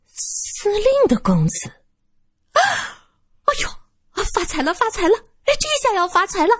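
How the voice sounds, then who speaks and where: A woman's voice: medium pitch, wildly swooping pitch, normal speed, breathy edge, voice pushed up. A woman voicing a greedy old brothel madam who shrieks, wails and sneers. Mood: gleeful.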